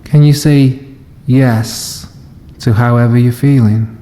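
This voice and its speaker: Male meditation teacher, mellow and very slow, gentle phrasing with long pauses, recorded in a reverberant hall. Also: mid-range voice, fairly even intonation, a little hiss or hum. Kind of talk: guided body scan meditation. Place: live session in a reverberant room.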